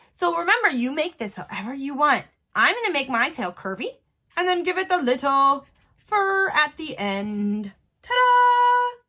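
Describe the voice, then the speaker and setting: A woman's voice: extremely high pitch, bright, forceful tone. A woman art teacher talking young children through a step-by-step drawing tutorial in simple, encouraging phrases. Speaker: elementary school art teacher.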